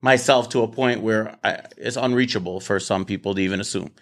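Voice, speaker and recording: A man's voice: fairly low pitch, fairly quick. A man hosting a casual debate show in his office, talking bluntly, swearing and repeating words as he starts sentences. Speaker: podcast host.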